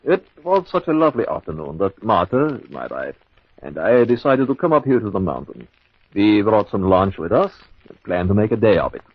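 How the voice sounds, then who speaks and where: A smooth, scheming husband from an old radio mystery, low and husky. He speaks formally and theatrically, with big swings in pitch. The sound is dull and dry, like an old broadcast. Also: sing-song, normal speed, no room sound, full character voice. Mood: feigned composure. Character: smooth, cold-blooded husband plotting his wife's murder.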